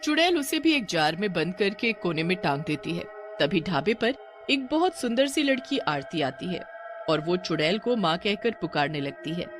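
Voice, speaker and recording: A woman's voice: on the low side, very animated, normal speed, music in the background. A woman narrator reading a scripted horror tale for a cartoon.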